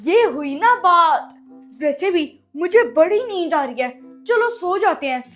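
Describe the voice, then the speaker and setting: Very high-pitched cheeky schoolboy played by a woman. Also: lively intonation, measured pace, a bit bright, soft background music, full character voice. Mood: smug. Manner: cheeky, bossy. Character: cheeky, lazy, forgetful schoolboy son, bossy mischief-maker. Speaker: actor in a comic skit. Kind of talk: comic family skit acting.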